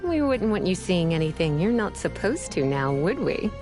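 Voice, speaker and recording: A woman's voice: fairly low pitch, big pitch swings, moderate pace. A woman voicing a languid, teasing female mage and librarian in a fantasy video game, with a flirtatious, sleepy drawl. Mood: teasing, coy. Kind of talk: video game character voice lines. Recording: music behind the voice.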